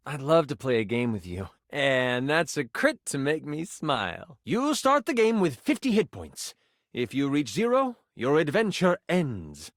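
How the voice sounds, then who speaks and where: A nerdy video game dungeon master with a fairly high, very animated voice. He is earnest and eager, gushing and stumbling over himself in self-conscious bursts. The sound is close and dry. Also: no echo. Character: nerdy, earnest, easily flustered tabletop dice game master. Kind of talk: expressive video game voice acting.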